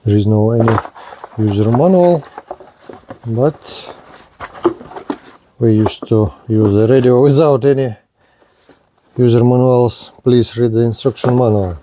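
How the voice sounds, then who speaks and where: A man giving a hands-on product demonstration in halting short phrases, with non-native English phrasing. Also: medium pitch, noisy background. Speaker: radio reviewer.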